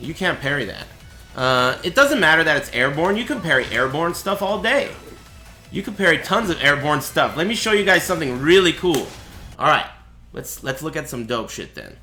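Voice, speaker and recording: Brief: bright, animated man, voice gone raspy, casual stream tutorial, echoey room with music. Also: on the high side, moderate pace. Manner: chatty, explanatory, asks "right?" often. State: voice raspy and cracking. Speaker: streamer teaching a fighting game.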